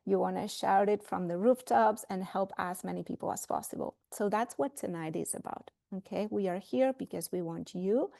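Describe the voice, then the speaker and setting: A woman's voice: lowish voice, creaky, dark tone, no echo, studio quality. A woman with a Spanish accent presenting a webinar as a fertility coach, earnest and emphatic, repeating words for stress. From Spain.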